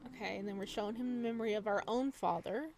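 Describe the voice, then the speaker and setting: A woman doing a video game let's play, reading game text aloud with expressive drama and adding casual asides. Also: medium pitch, fast, a little hiss or hum. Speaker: gaming video creator.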